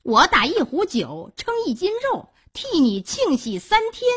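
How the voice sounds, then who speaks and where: A woman's voice: high voice, wildly swooping pitch, unhurried, a bit bright, voice pushed up, heavily acted. A woman storyteller playing a brazen, spiteful courtesan with a scornful, taunting, theatrical delivery. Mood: gloating.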